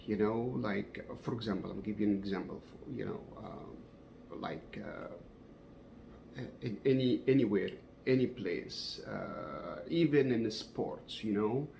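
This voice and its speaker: Low gravelly man from Egypt, halting. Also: dark tone, slight background noise. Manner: halting, many fillers, non-native grammar. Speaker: fencing coach sharing opinions. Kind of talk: casual talk to camera. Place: at home.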